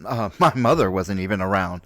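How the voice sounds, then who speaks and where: A man in his late forties from New York City with an American accent, giving an unscripted personal opinion in a halting, reflective manner and repeating himself for emphasis. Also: sits low, lively intonation, fairly bright tone, no room sound. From New York City, United States. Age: late 40s. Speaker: streamer, content creator.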